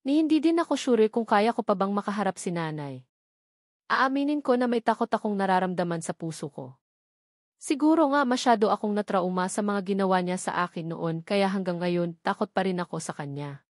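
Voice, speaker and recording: A woman's voice: mid-range voice, animated, a little fast, dry recording. Female audiobook narrator, first-person romance story, scripted reading, very dry close sound.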